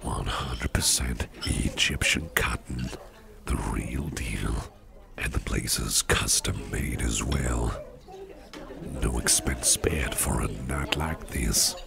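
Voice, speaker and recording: A man playing a genial, courteous monster boss in an ASMR roleplay, speaking softly and intimately in breathy half-whispers with a chummy, flattering manner. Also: heavily acted. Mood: flattering, playful. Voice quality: very deep gravelly rumble, breathy half-whispers. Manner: unhurried, chummy, repeats phrases, chuckles. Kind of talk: ASMR roleplay, intimate soft speech to listener. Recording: restaurant crowd murmur behind. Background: restaurant chatter.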